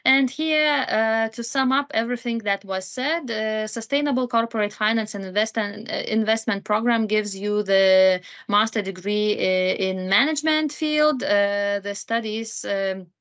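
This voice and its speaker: A woman introduces a university program to prospective students. She talks in a halting way, filling her pauses with frequent "uh"s, and her pitch sits in an ordinary middle range. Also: a bit bright. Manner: hesitant, many fillers. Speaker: program coordinator. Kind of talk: informational presentation.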